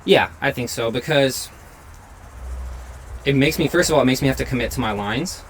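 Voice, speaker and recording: A man's voice: mid-range voice, moderate pace, low-quality recording. A young man hosting a chat while he draws, talking casually and easily, with many "like"s. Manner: easygoing, fills his talk with "like". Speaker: host and portrait artist. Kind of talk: casual conversation while drawing. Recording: home recording with faint noise.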